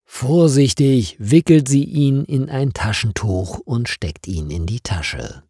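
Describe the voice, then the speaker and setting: A man reads a story for language learners at an unhurried pace. His voice is mellow and a little husky, and he shapes each sentence deliberately and expressively. The recording is close and dry. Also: medium pitch, expressive, no room sound. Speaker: narrator of stories for language learners. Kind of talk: slow, clearly paced scripted story narration.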